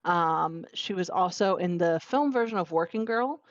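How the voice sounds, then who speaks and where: A woman's voice: lowish voice, lively intonation, moderate pace, dry recording. A young woman from Virginia, co-hosting a podcast in casual chat, talking in a chatty, enthusiastic way with many fillers. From Virginia, United States. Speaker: podcast co-host. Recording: dull lo-fi sound.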